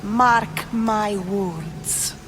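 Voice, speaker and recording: A woman's voice: mid-range voice, very slow, over music. A woman voicing a proud, boastful cult zealot in a video game, reverent, imperious and grandiose. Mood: reverent, exultant. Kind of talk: dramatic video game acting.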